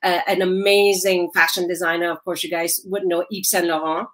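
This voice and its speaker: A middle-aged woman from Singapore, a personal stylist and guest, chatting in an animated way over a video call from a room at home. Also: fairly low pitch, brisk. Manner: animated, laughing, acts out quoted dialogue. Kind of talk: casual interview chat over video call. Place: home room with shelves, indoors.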